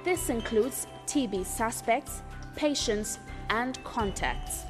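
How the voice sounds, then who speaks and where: A woman reading scripted health education narration for a documentary in a measured, formal way. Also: a little high, animated. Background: music.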